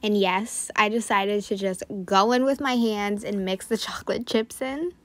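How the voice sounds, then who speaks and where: A woman's voice: medium pitch, moderate pace. A young woman vlogger talking through a recipe in her home kitchen, chatty and offhand, thinking aloud as she bakes. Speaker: baking vlogger. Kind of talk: casual bake-along narration. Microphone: camera on the counter, speaker mostly off-frame.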